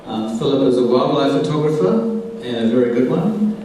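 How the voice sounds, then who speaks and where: A man introducing a guest speaker at a club meeting, talking in a measured, slow way with pauses. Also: medium pitch, lively intonation, mellow, a little hiss or hum. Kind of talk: formal introduction. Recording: echoey hall, voice from far off.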